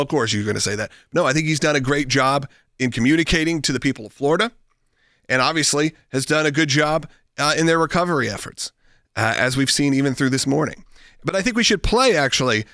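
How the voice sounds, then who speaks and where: A man's voice: fairly high pitch, fairly bright tone, no echo, studio quality. A man with an American accent giving conversational political commentary as a talk show host.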